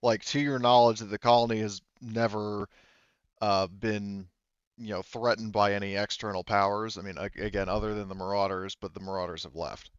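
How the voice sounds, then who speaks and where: A man with a fairly low, clean voice explains a tabletop game setting as game master, feeling his way through the phrasing. The recording is dry and slightly dull. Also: flat delivery, normal speed, clear, resonant tone, no echo.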